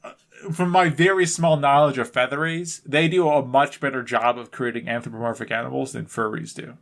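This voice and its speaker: A man talks as a podcast co-host in loose, unscripted banter. His delivery wanders and doubles back on itself, with a self-deprecating bent. The pitch sits in an ordinary middle range for a man. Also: fairly even intonation, brisk, a bit bright. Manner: rambling, self-correcting, self-deprecating.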